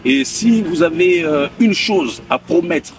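A man's voice: a little high, animated, slow, distant mic, background noise. A young man asking polite, formal interview questions as the interviewer, speaking outdoors. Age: young adult. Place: outdoors by a hotel pool.

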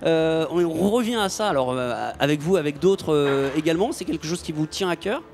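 Jokey middle-aged radio host. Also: male voice, on the high side, lively intonation, normal speed, a little mellow, a little hiss or hum. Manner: familiar, jokey, teasing questions. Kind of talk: chatty festival radio interview. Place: festival radio booth in front of a sponsor backdrop. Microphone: handheld radio mic, wearing headphones.

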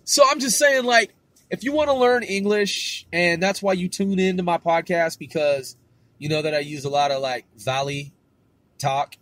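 A man's voice: sits high, moderate pace, a bit bright, a little hiss or hum. A middle-aged man with a West Coast accent, hosting his own podcast in a rambling, slangy, casual monologue. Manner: rambling, laid-back slangy talk with lots of swearing and fillers. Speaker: podcast host.

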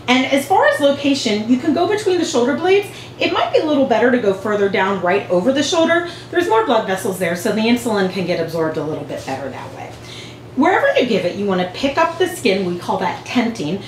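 A woman in her forties talks in a middle pitch, calmly walking listeners through each step the way a veterinarian would. Her voice bounces around a hard-surfaced exam room and is picked up from a camera a few feet away. A faint hum runs underneath. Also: reverberant, rough recording. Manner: clear, patient explaining with hand gestures. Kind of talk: instructional demonstration. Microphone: far camera.

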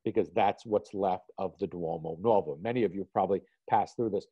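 A man giving a relaxed online art history lecture, loose and conversational, with frequent "you know" fillers. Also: sits low, a little fast, husky, no room sound. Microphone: video call. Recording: dull lo-fi video call audio.

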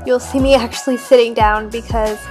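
A woman's voice: on the high side, fairly even intonation, a little fast, music in the background. A young woman chatting casually to viewers as she talks through family recipes in a voice-over. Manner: chatty, talking straight to viewers with fillers. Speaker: family vlogger.

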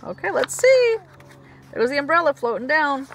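A woman preschool teacher reading a picture book aloud to young children in an animated, expressive way, with chatty asides. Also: high-pitched, normal speed, a little hiss or hum, rough recording.

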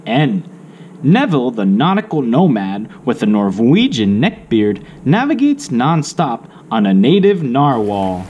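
Guy reading an alphabet kids book out loud, really slow, pitch moves around a lot, bit of noise behind him. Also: big pitch swings, muffled recording. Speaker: children's book read-aloud narrator. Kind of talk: slow read-aloud of an alphabet picture book. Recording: faint background noise.

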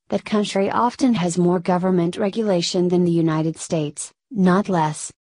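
A woman reading a news article aloud in a steady, scripted delivery. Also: on the low side, no echo. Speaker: news reader.

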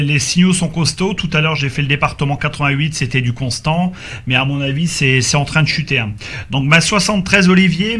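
A man with a fairly high, very bright voice talks as a radio operator. He chats in call signs and spells things out letter by letter.

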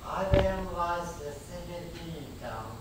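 A man in his eighties making a loud, emphatic, rambling public comment at a city council meeting. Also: a little high, animated, measured pace. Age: 80s. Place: large council chamber, speaking from a chair. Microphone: far room mic. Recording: echoey chamber from far off with hiss.

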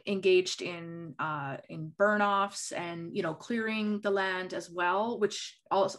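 A woman's voice: medium pitch, a bit bright. A young woman moderating a Q&A over a video call from a home office, reading questions aloud in a friendly way. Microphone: video call webcam.

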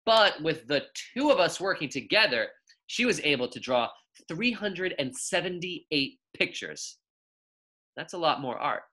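A young man reading a children's story aloud as a teacher, with expressive, emphatic delivery and drawn-out key words. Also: on the high side. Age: young adult.

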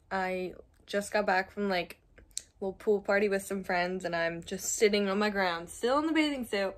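A woman in her twenties chatting casually to a phone camera held at arm's length, upbeat and rambling with asides. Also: mid-range voice. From Arizona. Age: 20s. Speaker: vlogger. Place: car, home and outdoor trail.

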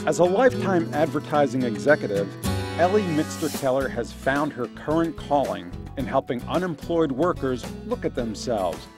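A man giving measured, scripted documentary narration. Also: mid-range voice, sing-song, over music.

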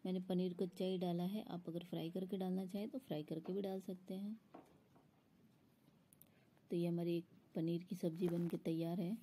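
A woman's voice: lowish voice, flat delivery, moderate pace, dark tone, slight background noise. A woman explaining a recipe step by step in an instructional voice-over, as a home cook. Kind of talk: step-by-step cooking tutorial voice-over.

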